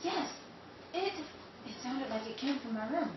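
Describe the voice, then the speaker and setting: A woman's voice: high voice, lively intonation, unhurried, distant mic. A woman playing a frightened, hesitant young woman roused at night in a suspense audio drama. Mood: uneasy. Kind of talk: suspense radio-play acting. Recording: roomy, muffled, noisy, off-mic.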